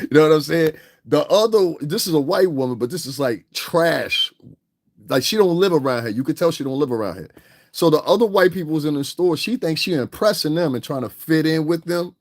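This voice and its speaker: A man's voice: dry recording, studio quality. A man tells a story in a casual rant and acts out the other people's lines in it. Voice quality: deep voice. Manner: animated, acts out others' lines with big pitch jumps. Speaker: vlogger. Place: home in Connecticut.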